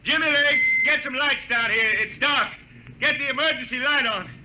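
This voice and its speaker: A man's voice: very high voice, moderate pace, noisy background. An actor playing a cocky, folksy spaceship jetman with insolent backtalk, in 1950s radio drama style. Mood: agitated. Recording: old 1950 radio broadcast recording.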